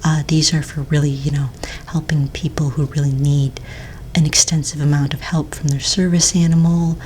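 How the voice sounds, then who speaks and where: Woman as patient fantasy-registry office manager, ASMR roleplay. Low, soft, breathy near-whisper, gentle and unhurried, keyboard typing and background noise. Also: flat delivery, lightly acted, low-quality recording. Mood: calm, polite. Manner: gentle, unhurried, hesitant pauses while checking paperwork. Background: computer keyboard typing.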